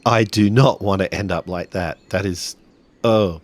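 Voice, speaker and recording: Man playing jumpy slangy boy, very low voice. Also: slow pace. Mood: scared, jittery. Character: jumpy, scared boy named Dylan, slangy kid.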